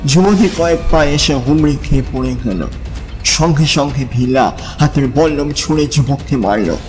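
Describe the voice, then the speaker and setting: A man's voice: fairly high pitch, moderate pace, over music. A man narrating fiction in a dramatic, scripted audiobook style.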